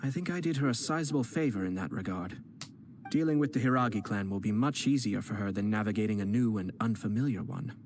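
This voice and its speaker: A composed young nobleman, voiced by a man, fond of strategy and always in control. His voice sits a little low and stays measured and courteous. Game music runs clearly beneath him. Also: natural voice. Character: young noble clan head, calm, cunning strategist. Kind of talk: video game voice acting. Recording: game dialogue with music underneath.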